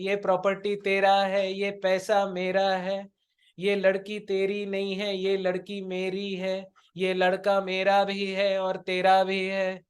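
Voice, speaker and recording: High-pitched, slow-paced Indian male teacher. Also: clear, resonant tone. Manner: didactic, rhetorical questions, switches between Hindi and English. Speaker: spiritual life coach and teacher. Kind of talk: interactive spiritual lecture.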